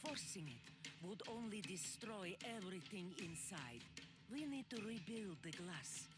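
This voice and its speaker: A woman's voice: medium pitch, expressive, a little slow, lightly acted. An actress playing an anxious, earnest young woman searching for her lost uncle, heard in game audio. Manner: earnest, pleading. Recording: game audio over loud music.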